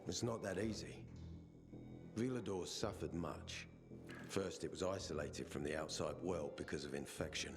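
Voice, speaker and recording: An actor playing a stern male peacekeeper officer who speaks in a firm, measured, commanding way. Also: low-pitched, natural voice. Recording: game cutscene with music bed.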